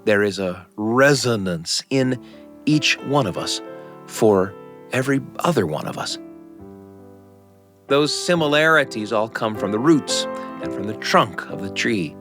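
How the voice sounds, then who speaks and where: A middle-aged man hosting and telling stories from a script, with measured, reflective phrasing. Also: on the low side, animated, a bit bright. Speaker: host and storyteller. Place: dry studio. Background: faint music.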